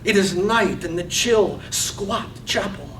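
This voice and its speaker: A man narrating a poetic play from a script with hushed, deliberate, measured phrasing. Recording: roomy stage, far mic, faint rumble.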